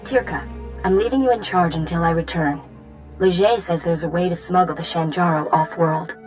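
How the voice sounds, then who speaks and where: A woman's voice: fairly low pitch, moderate pace, lightly acted. Actress as fervent female rebel leader. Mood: zealous. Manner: declamatory, earnest. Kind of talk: video game character acting. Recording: music under the voice.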